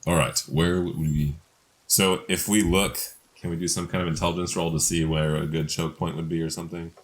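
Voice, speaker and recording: A man's voice: deep voice, little pitch movement, fairly quick. A man talking casually as a player in a tabletop game.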